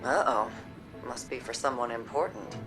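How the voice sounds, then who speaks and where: A woman's voice: extremely low pitch, moderate pace, low-quality recording. An actress playing a battle-weary, resilient woman soldier in a video game, speaking plainly and reflectively with a wry edge. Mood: wry teasing. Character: battle-weary, resilient female soldier, tough but tender. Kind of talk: dramatic video game cutscene acting. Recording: music or faint ambient noise behind.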